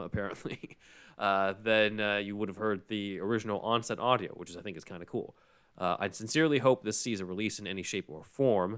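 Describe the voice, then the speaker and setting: A man with a notably low voice hosts a casual podcast. He talks conversationally, moving between reading out comments and explaining his own take on them. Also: fairly bright tone, clean tone. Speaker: podcast host.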